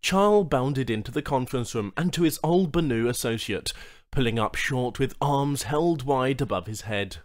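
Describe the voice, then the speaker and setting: A man's voice: medium pitch, moderate pace. A man narrating a scripted short story as an audiobook reader.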